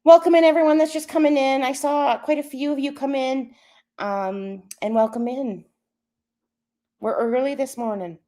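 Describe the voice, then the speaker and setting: A woman's voice: high-pitched, steady tone, normal speed, mellow. A woman chatting with stream viewers, laughing often and a little wheezy. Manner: laughs often, reads and answers the chat. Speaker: livestream co-host. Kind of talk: casual chat with stream viewers.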